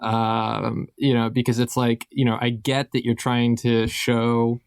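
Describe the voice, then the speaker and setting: A man co-hosting a podcast in casual chat, with an informal, halting and wry way of talking. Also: medium pitch, flat delivery, vocal fry, clean tone, no echo, clean studio sound. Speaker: podcast co-host.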